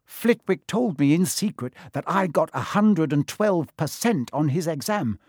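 Man doing an earnest clever schoolgirl, high-pitched, bossy, fretful, lively swoops, storybook character. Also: unhurried, no echo, clean studio sound. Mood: brisk, determined.

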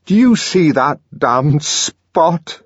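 A man's voice: fairly high pitch, expressive, very slow, slightly rough, no echo, highs cut off, voice pushed up, full character voice. A man voicing an earnest, emotional young man who speaks in an intense, trembling way. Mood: impassioned.

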